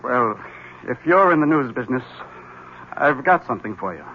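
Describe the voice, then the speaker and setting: An actor playing a hesitant, grim, haunted husband with a husky voice in a 1970s radio drama. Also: male voice, medium pitch, big pitch swings, normal speed, a little hiss or hum, natural voice. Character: haunted, quiet man who calls his wife a witch. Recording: dull old radio broadcast.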